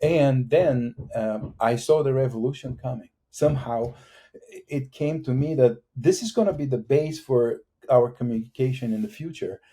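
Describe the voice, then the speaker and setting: A middle-aged man from Bulgaria with a Bulgarian accent, a guest talking casually in an interview over a video call, halting with many fillers and restarts. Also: medium pitch, dark tone. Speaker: guest engineer.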